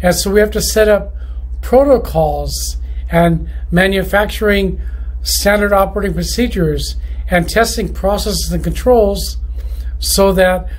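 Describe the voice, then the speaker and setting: A man who runs a loudspeaker company, talking through his factory's quality control in a rambling, casual way, often restarting his sentences. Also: sits high, measured pace, poor audio. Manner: rambling, many restarts and self-corrections. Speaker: loudspeaker manufacturer. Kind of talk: casual explanatory monologue.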